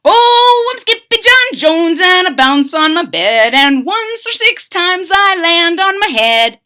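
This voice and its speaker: An exuberant little boy kitten from a storybook, acted out by a woman. The voice leaps very high and loud, bright and forceful, drawing words out in excitable exclamations. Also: heavily acted. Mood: excited exuberant. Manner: exuberant, sing-song, drawn-out exclaiming. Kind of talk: storybook read-aloud.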